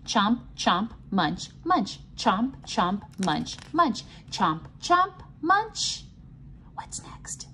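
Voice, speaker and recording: A woman reading a picture book aloud to children and explaining it simply, in a sing-song, teacherly way with clear enunciation. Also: a little high, sing-song, extremely slow, bright, forceful tone, slight background noise. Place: indoors, at a table.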